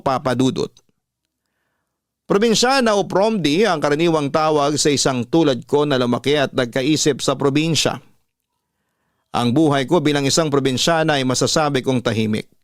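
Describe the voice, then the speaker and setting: A man's voice: mid-range voice, no room sound, clean studio sound. A man hosting a radio story show, reading a listener's letter as dramatic scripted narration. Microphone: close studio microphone, dry. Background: soft music.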